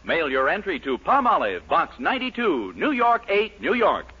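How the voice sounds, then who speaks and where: A man's voice: a little high, wildly swooping pitch, normal speed, sharp tone, husky. A man announcing and narrating a 1940s radio comedy in a polished, lilting announcer manner. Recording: dull lo-fi 1940s radio broadcast.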